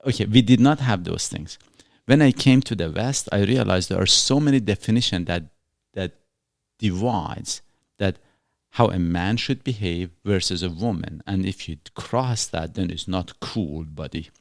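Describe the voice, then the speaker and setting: A man's voice: sits low, normal speed, creaky, no echo, studio quality. A man from Iran with a Persian accent, hosting a casual chat and asking playful, probing questions. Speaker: host. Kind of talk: casual conversation.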